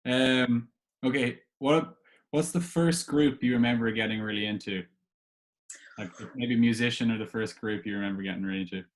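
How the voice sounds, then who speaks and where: A man's voice: medium pitch, fairly even intonation, moderate pace. A man hosting a casual podcast interview, asking questions in an informal, hesitant way.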